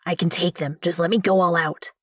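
A woman voicing a blunt, fearless, aggressive teenage girl with a husky, threatening delivery in an audiobook. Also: fairly low pitch, fairly even intonation, brisk, pitched below the performer's own voice. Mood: blunt menace.

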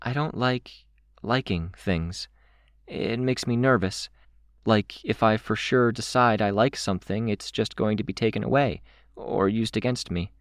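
A man's voice: fairly low pitch, a little slow, no echo, clean studio sound, pitched above the performer's own voice, natural voice. A man voicing a candid, playful young girl with a wry, theatrical streak in an audiobook. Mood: quiet, confiding. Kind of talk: audiobook character voice.

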